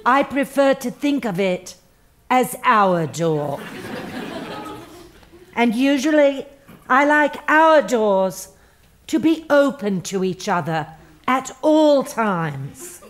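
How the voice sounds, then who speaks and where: An actress playing a relentlessly upbeat, sanctimonious human resources manager in a stage comedy, gushing with earnest, drawn-out emphasis. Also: female voice, fairly high pitch, expressive, a little hiss or hum. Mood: careful, earnest. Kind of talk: comedic stage play acting. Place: theatre stage before a live audience.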